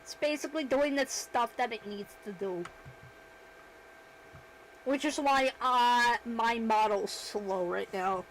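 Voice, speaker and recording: Brief: a chatty, self-deprecating woman streamer with a fairly high voice, slow and easygoing, with faint background noise. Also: poor audio. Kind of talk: casual livestream chat while working.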